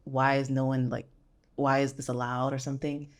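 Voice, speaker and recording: A woman's voice: extremely low pitch, level tone, normal speed. An American woman talking casually as a podcast guest, telling anecdotes in an informal way and quoting people as she goes. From United States. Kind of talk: casual conversation.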